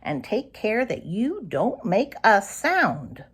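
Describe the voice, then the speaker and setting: A woman's voice: medium pitch, wildly swooping pitch, slow pace, breathy edge, heavily acted. A woman voicing a shrewd, bossy old granny fox in a children's storybook, snappish and commanding. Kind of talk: children's storybook read aloud.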